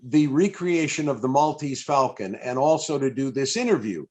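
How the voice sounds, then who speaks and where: A man's voice: mid-range voice, expressive, moderate pace. A man hosting a live interview over a video call, effusive and often repeating and restarting his phrases. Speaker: interviewer and event host. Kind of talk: live interview for an old-time radio fan event.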